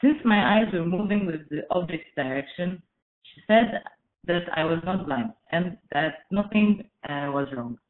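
A woman in her twenties from Egypt giving a prepared webinar talk, careful and measured, with frequent "uh" fillers. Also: fairly low pitch, lively intonation, a little hiss or hum. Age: 20s. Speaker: webinar speaker. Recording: echoey, phone-like webinar audio.

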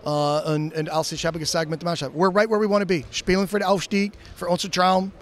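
Coach guy, 40s, fumbling through german with english bits, kinda high voice, handheld mic in an arena. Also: flat delivery, slight background noise. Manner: halting non-native German with English phrases mixed in. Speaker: head coach. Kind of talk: post-game interview. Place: indoor arena, in front of a sponsor wall. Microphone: handheld interviewer mic.

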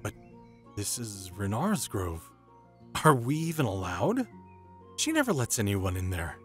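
An actor playing a fussy, anxious fantasy adventurer in an audio drama, proper, fretful and theatrical. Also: male voice, on the low side, very animated, unhurried, soft background music. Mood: fussy, uneasy. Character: fussy, anxious male companion in sandals.

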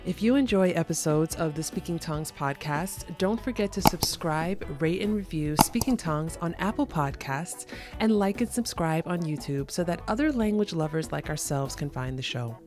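Woman podcast host, low-ish pitch, upbeat welcoming delivery, faint music bed. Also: expressive, moderate pace. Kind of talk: podcast intro and interview.

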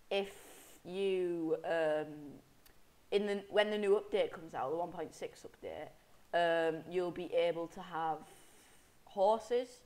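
A young man talks slowly over his gameplay, stuffy and sniffling from a head cold. He rambles, trips over his words and corrects himself, then grumbles at enemies in mock anger. He talks into a desk microphone at his computer. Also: high-pitched. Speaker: gameplay commentator. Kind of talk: casual game commentary.

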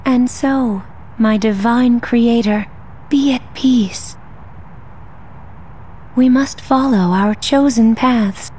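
An actress playing a serene, regal goddess in a video game cutscene, speaking softly and formally with gentle resolve. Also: female voice, on the high side, extremely slow, pauses often, a little hiss or hum. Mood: tender. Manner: soft, formal, stately.

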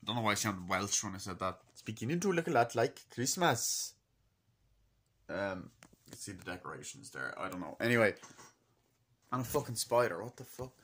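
Excitable young man rambling through a film review. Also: fairly low pitch, fairly quick, breathy edge. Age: 20s. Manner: excitable, sweary, acting out snatches of dialogue. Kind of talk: rambling, informal movie review vlog. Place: small wood-panelled room indoors. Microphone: laptop microphone at close range.

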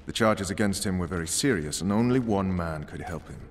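A man's voice: low-pitched, animated, a little fast. A man playing a stern, commanding special forces interrogator in a video game, blunt and authoritative. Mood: measured, menacing. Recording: long hall reverb, faint ambient noise.

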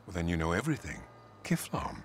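Game role: sly cult guru man, lowish voice, expressive intonation, solemn, over clearly audible noise. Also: quick pace. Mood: serene, sly. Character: serene, sly male cult leader. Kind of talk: video game cutscene acting.

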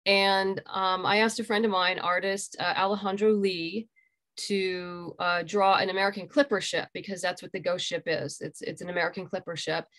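A woman's voice: on the low side, fairly even intonation, moderate pace, fairly bright tone. A middle-aged woman speaking as an interview guest, explaining things conversationally over a video call from a room at home. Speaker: interview guest, graphic novel creator. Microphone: video call computer microphone.

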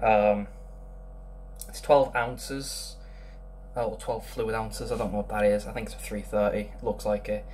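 A man's voice: on the low side, level tone, measured pace, pauses often, a little mellow, a little hiss or hum. A young man casually reviewing a beer at home, rambling with lots of ums. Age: young adult. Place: small room indoors.

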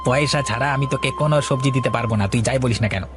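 Very fast-talking stingy vegetable seller man acting. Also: mid-range voice, natural voice. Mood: dismissive. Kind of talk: Bengali cartoon story voice acting. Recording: music behind the voice.

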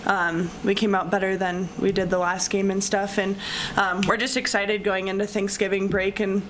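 A woman's voice: medium pitch, level tone, normal speed, poor audio. A young woman with a middle-range voice answers press questions as a college basketball player. She talks in a chatty, upbeat way with plenty of fillers into a desk microphone. Faint room noise sits underneath. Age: young adult. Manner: chatty, upbeat, many "um" and "you know" fillers. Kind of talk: post-game press conference answers. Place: press room in front of a sponsor backdrop.

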